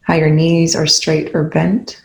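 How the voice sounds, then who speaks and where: A meditation instructor leading a guided session in a soothing, gentle, unhurried way with long pauses. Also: mid-range voice, monotone, creaky, reverberant, rough recording.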